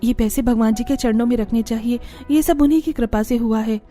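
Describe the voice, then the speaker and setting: A woman's voice: fairly high pitch, fairly quick, warm tone, soft background music, natural voice. A woman voicing an earnest, respectful young daughter-in-law in a cartoon family story. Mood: grateful, hopeful.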